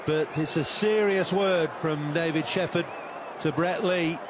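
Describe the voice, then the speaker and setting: A man giving live TV commentary on a cricket match, calm and explanatory. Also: a little high, measured pace. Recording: broadcast with loud crowd noise.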